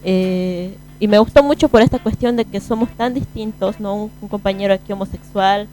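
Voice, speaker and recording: A woman's voice: medium pitch, normal speed, mellow, faint music behind, low-quality recording. A woman with a Bolivian Spanish accent co-hosting a casual radio panel, informal and often checking for agreement. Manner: informal, often seeks agreement with tag questions. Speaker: radio show co-host. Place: radio booth.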